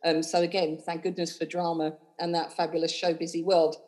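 Chatty London woman, fairly low voice. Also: level tone, brisk, darkish tone. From London, England. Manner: chatty and effusive, rambling with many fillers. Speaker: cabaret host and singer, guest. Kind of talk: casual interview chat.

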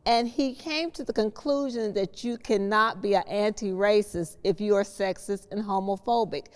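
A woman hosting a book discussion, explaining ideas in a measured, deliberate manner. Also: mid-range voice, clean tone. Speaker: host of a discussion series. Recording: long hall reverb on the voice.

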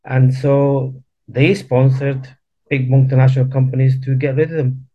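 A man in his fifties who was born in Chile talks through his family history in a relaxed interview. He often stops, repeats words and restarts. His voice sits at an ordinary middle pitch, and the recording sounds a little dull and lo-fi. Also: moderate pace. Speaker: guest. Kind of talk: casual interview chat.

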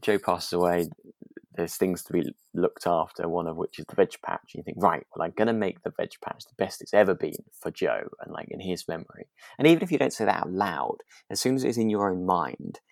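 A man chats as a podcast co-host, feeling his way tentatively through his thoughts. His voice is deep, with plenty of gravelly creak. It is recorded dry, with hardly any room sound. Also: animated, fairly quick, dry recording. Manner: hesitant, tentative, thinking aloud. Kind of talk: relaxed casual discussion of a radio drama.

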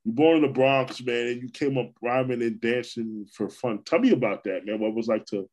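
A man from New York hosting a podcast, talking in a relaxed, casual way with lots of repeats and restarts. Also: medium pitch, a little fast, slight background noise. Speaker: podcast host. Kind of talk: casual conversation.

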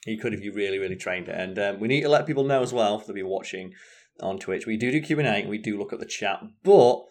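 Fast-talking, low-voiced Englishman. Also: expressive. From England. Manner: chatty, rambling, swears freely. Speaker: co-host. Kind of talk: casual stream chat. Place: home.

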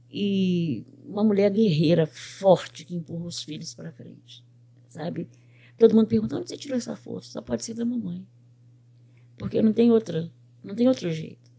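Gravelly older Brazilian woman telling stories. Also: medium pitch. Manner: animated storyteller who quotes other people's words. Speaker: guest, pet groomer and business owner. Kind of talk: conversational storytelling interview.

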